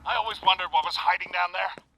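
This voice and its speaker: Eager high-voiced older scientist. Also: male voice, fast talker, full character voice, rough recording. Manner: excitable, emphatic. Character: eager, headstrong older male scientist adventurer. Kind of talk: animated video game cutscene acting. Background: prominent music.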